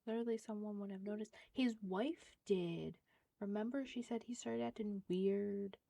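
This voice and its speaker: A woman's voice: medium pitch, a little slow, gravelly, dark tone. A woman livestreaming a game with casual commentary, making chatty asides to viewers and repeating short phrases. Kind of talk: casual live gameplay commentary.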